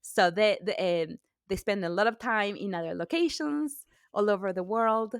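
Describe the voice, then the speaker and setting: A woman's voice: medium pitch, moderate pace, clean tone, no echo, clean studio sound. A middle-aged woman from Argentina, a native Spanish speaker, chatting as an author guest in a podcast interview, laughing often and restarting her sentences.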